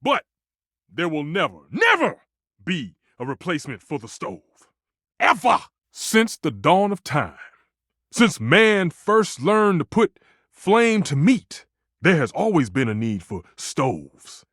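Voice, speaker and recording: A living kitchen stove from a video game, a proud and passionate culinary purist. His fairly high voice swings dramatically up and down with theatrical emphasis. The sound is close and dry, and every line is delivered with grandiose conviction. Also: wildly swooping pitch, slow, fairly bright tone, no room sound, heavily acted. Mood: impassioned.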